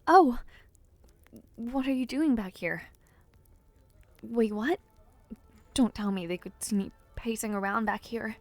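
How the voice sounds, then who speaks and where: A woman playing an affectionate young noblewoman in an intimate audio roleplay, speaking softly and breathily to the listener with hesitant sighs and murmurs. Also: on the high side. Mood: flustered, anxious. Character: affectionate young noblewoman, playful but nervous before her debut.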